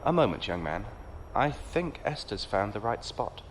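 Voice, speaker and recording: A man's voice: fairly low pitch, big pitch swings, moderate pace, very noisy. A man playing a genteel knight who talks in a courtly, patronizing way with dry wit. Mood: wry. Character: genteel, condescending male knight with a ship.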